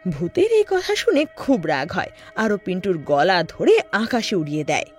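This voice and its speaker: A woman's voice: lowish voice, huge pitch swings, moderate pace, a little mellow, faint music behind. A woman narrating a scripted story in a dramatic storytelling style.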